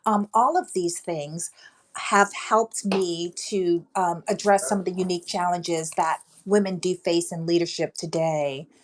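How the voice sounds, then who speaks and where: A woman with a light voice speaking as a guest on an online panel about leadership, in a measured, deliberate way. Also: lowish voice, fairly even intonation, background noise. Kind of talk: panel talk answering a host.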